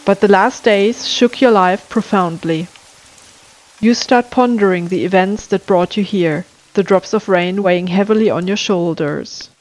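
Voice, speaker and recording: A woman reading a scripted spooky story as narrator, in a measured, atmospheric storytelling manner. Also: medium pitch. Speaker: storyteller and channel host. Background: music.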